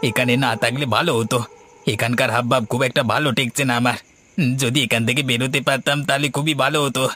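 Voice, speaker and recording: A man's voice: mid-range voice, fairly even intonation, quick pace, natural voice. Nervous, stammering stranded traveller voiced by a man. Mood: uneasy muttering. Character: frightened, stranded male traveller, pleading and suspicious. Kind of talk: animated horror story acting. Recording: loud background ambience noise.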